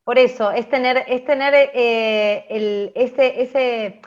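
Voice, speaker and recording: Argentine woman chatting through a class, thinking out loud, bit lo-fi audio. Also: a little high, fairly even intonation, slow pace. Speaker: radio and podcast workshop instructor. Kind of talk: conversational open class. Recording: dull lo-fi sound.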